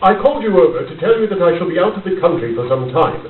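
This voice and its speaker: An actor playing a grave, commanding English detective in a radio drama, speaking formally and deliberately. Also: male voice, on the high side, big pitch swings. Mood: preoccupied. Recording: tinny, roomy, distant, hissy amateur recording.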